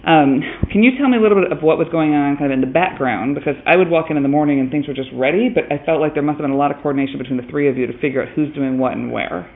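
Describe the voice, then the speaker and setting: A male podcast host with a voice a touch higher than most, talking quickly. He is upbeat, friendly and chatty as he interviews colleagues in a hospital, and the recording is dull and lo-fi. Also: vocal fry. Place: hospital on a surgical mission.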